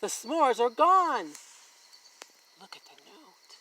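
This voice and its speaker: A woman reading a children's picture book aloud as a story time host, in a deliberate, expressive way that points things out to young listeners. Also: sits high, slight background noise. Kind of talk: children's read-aloud narration.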